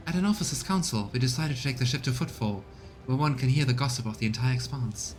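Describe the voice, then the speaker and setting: A man voicing a deferential high factotum in a video game, reporting gravely in courtly, measured language. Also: faint music behind, natural voice. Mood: dutiful.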